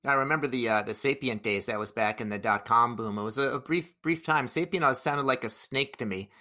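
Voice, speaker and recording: A man's voice: medium pitch, a little fast, sharp tone. A man hosting a business podcast, joking with his guest and asking questions, with small restarts. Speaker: podcast host. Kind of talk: conversational interview.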